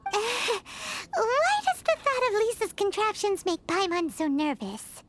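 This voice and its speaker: A woman voicing a tiny, excitable fairy companion in a video game who talks about herself in the third person. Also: very high voice, takes it slowly, a little hiss or hum, heavily acted. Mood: curious. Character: tiny chirpy female fairy companion, curious. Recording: game audio with loud music behind.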